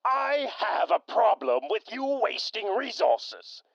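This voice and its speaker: A man's voice: high voice, sing-song, takes it slowly, creaky, fairly bright tone, no echo, exaggerated voice. A man playing a foul-mouthed, wary raider lieutenant who swears and argues hotly, in dull lo-fi sound. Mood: grumbling.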